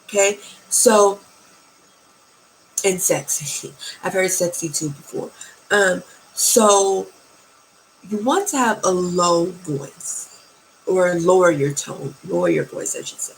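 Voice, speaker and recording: A woman with a naturally low, soft voice, giving dating advice slowly and sultrily and often checking in with "okay?" or "right?". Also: very animated, slight background noise, poor audio. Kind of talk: slow, soft-spoken advice talk.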